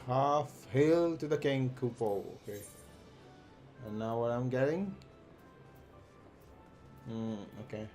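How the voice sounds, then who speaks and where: A man's voice: medium pitch, expressive, slow pace, pauses often, a little mellow. A man streaming a game, thinking aloud in a hesitant, muttering way as he plays. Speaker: live streamer. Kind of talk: casual gameplay commentary. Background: faint game music.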